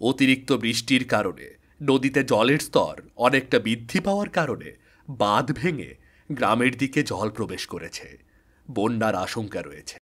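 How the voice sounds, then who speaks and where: A man's voice: medium pitch, a bit bright, husky. Man playing a formal, subdued radio newsreader. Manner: formal, measured. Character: formal radio newsreader giving flood warning. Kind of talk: formal radio bulletin in cartoon.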